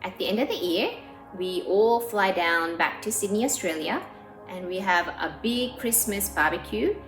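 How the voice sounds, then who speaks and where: Earnest woman in a roomy space. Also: medium pitch. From South Korea. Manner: earnest and warm, with frequent "um" pauses. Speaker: pianist and composer. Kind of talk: documentary interview. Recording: roomy sound with faint music behind.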